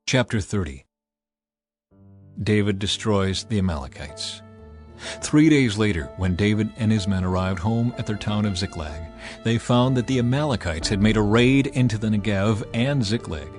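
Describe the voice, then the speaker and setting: Guy reading scripture, steady voice, faint music underneath. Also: fairly low pitch. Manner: measured, even reading. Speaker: narrator.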